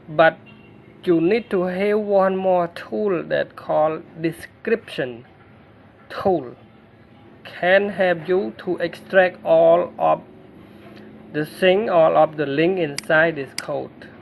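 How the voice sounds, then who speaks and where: A man from Cambodia, a native Khmer speaker with a Khmer accent, narrating a screen-recorded tech tutorial in halting English with many fillers and restarts. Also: on the high side, creaky, slight background noise.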